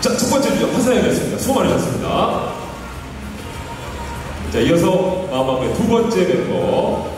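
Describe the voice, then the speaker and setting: A man's voice: sits high, very animated, normal speed, pauses often. A man hosting a live music showcase, upbeat and joking as he cues each performer. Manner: upbeat, joking, directing poses. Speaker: event emcee. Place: large indoor stage with music. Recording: roomy, far off, loud music.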